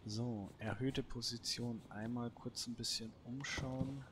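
Male let's player, medium pitch, casual thinking-aloud commentary, noticeably noisy recording. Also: steady tone, unhurried. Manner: colloquial, musing to himself. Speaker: gamer, let's play host.

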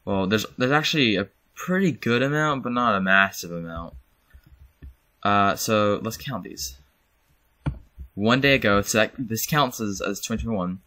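Young male host, lowish bright voice, rambling casual screen-recording chatter. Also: lively intonation, normal speed. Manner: rambling, self-correcting, chuckles. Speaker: channel host.

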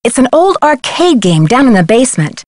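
A woman's voice: fairly high pitch, sing-song, fairly quick, darkish tone, no room sound. A woman playing an earnest, inquisitive young amateur detective in a video game, speaking in an articulate way. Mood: earnest, curious. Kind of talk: video game dialogue acting.

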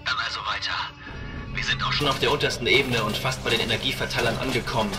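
A man playing a calm, resolute spaceship captain with dry humour in a sci-fi audio drama. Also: mid-range voice, fast, far from the mic, lightly acted. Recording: clearly audible music behind.

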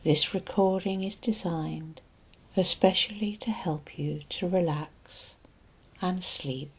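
A woman with a fairly low, soft voice leads a sleep hypnosis session. Her delivery is very slow and soothing, with long gaps between short phrases. The tone is breathy and husky, like a therapist easing a listener toward rest. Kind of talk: guided relaxation and sleep hypnosis narration.

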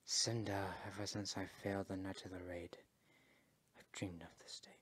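Man putting on a low, hushed old dragon mentor. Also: very animated, no room sound, exaggerated voice. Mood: solemn, regretful. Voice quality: hushed, breathy. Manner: solemn, measured. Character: old weary, gentle male dragon mentor. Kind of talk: amateur fandub of a video game.

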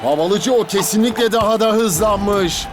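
Cartoon co-commentator, enthusiastic and exclaiming, very high register, over music and crowd noise. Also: male voice, a little slow. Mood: thrilled. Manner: shouty, exclaiming. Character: exuberant male football co-commentator, breathlessly enthusiastic. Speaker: match co-commentator. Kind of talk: cartoon football commentary dub. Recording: music and stadium crowd behind.

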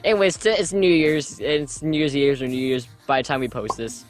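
A man's voice: mid-range voice, steady tone, brisk, faint music behind. A young man joining a casual gaming video, bantering and teasing his friend. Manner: joking, teasing, playful. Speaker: guest co-player.

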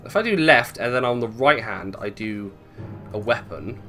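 A man's voice: medium pitch, animated, moderate pace, a bit bright, faint music behind. A British man giving casual gameplay commentary, thinking aloud, swearing and questioning himself as he goes. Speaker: gaming video host.

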